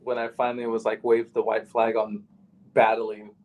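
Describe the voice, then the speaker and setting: A man's voice: lowish voice, completely flat delivery, normal speed, darkish tone, poor audio. A man with a nasal voice, a battle rapper talking casually as a podcast guest, full of "you know" and "like".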